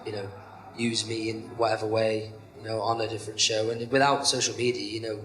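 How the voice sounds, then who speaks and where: A man's voice: on the low side, brisk. Rambling man with an echoey sound. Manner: rambling, many "you know" fillers, self-described monotone. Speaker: professional wrestler, interview guest. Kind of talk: casual interview chat.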